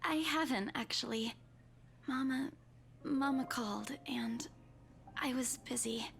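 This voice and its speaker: A woman playing a soft, hesitant, worried young woman in a visual novel. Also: high voice, unhurried, vocal fry, slight background noise, exaggerated voice. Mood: hushed, troubled.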